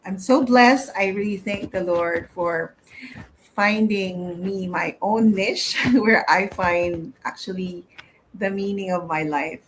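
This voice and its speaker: A woman's voice: medium pitch, slow pace, slight background noise, poor audio. A woman in her thirties from the Philippines, answering interview questions in a friendly, casual way with many fillers. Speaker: guest.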